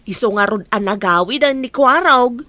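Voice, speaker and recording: Casting: actress as caring, cautioning Ilocano villager; high bright voice, dry comedy-drama recording. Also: female voice, expressive, normal speed, no echo. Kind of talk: comic radio-drama acting.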